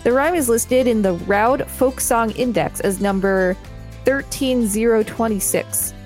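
A woman's voice: a little high, unhurried, a little mellow, faint music behind. A woman co-hosting a podcast, reading reference text aloud with casual remarks. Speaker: podcast co-host. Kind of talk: reading encyclopedia pages aloud with casual commentary.

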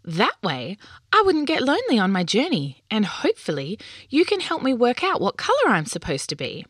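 A woman voicing a small young male unicorn in a storybook, with a high childlike voice. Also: sing-song, a little slow, fairly bright tone, no echo, clean studio sound, full character voice. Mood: excited.

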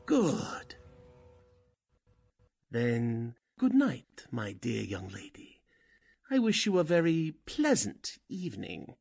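An actor playing a sinister cult leader in a 1940s-style radio drama, commanding and falsely courteous as he hypnotizes with deliberate speech. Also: mid-range voice, huge pitch swings, vocal fry, darkish tone, long reverb tail, faint music behind, full character voice. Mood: smug, falsely courteous.

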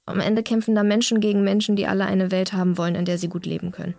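A woman's voice: medium pitch, completely flat delivery, fast, creaky, darkish tone, no room sound, natural voice. A woman playing a troubled young woman in an audio drama, speaking seriously and naturally about a friend. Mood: troubled, bitter. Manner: serious, conversational.

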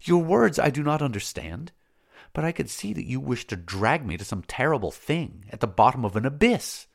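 A man's voice: medium pitch, sing-song, normal speed, vocal fry, voice pushed up. A man voicing a proud young priestess in an audiobook, pleading and accusing by turns. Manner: pleading, then accusing and bitter. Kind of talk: audiobook character voice.

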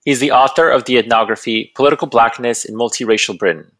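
A man speaking as the host of an academic podcast interview, in a measured, formal register. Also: medium pitch, a bit bright. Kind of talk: podcast interview with scripted introduction.

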